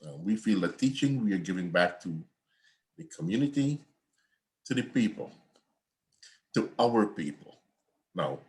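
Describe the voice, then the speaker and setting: An older man from Guyana, an accounting professor giving a conversational, rambling online class lecture over a video call from home. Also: lowish voice. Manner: conversational, repetitive, digressive.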